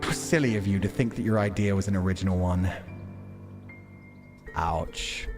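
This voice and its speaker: A man's voice: sits low, moderate pace, faint music behind. A young adult man streaming a visual novel, reading narration aloud and reacting with casual, sweary commentary. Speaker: game streamer.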